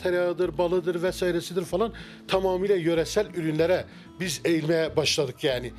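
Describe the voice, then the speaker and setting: Hesitant middle-aged man, slightly high voice. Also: fairly even intonation, fairly quick, faint music behind. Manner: hesitant, many fillers and restarts. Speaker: restaurant owner. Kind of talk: casual interview. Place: wood-paneled restaurant interior. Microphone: handheld mic held by interviewer.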